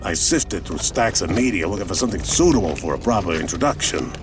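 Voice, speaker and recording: An actor playing an older private detective, narrating a noir audio drama in a wry, colloquial, world-weary way. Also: male voice, low-pitched, very animated. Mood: intrigued, musing. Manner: wry, colloquial, deliberate storytelling. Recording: music under the voice. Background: music.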